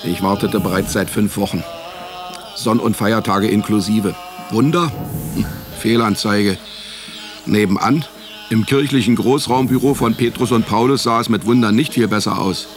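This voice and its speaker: An actor playing a wry, broke private detective in a radio play, with a deadpan, sardonic manner. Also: male voice, lowish voice, moderate pace, natural voice. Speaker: narrator and lead actor. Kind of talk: first-person detective radio play narration and dialogue. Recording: music behind the voice. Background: music.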